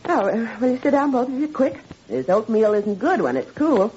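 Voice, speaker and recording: An actress playing an anxious, gentle mother and wife who tries to keep peace, in 1940s radio style. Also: on the high side, sing-song. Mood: uneasy, soothing. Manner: fretful, hurried, soothing. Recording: muffled, noisy 1940s radio recording.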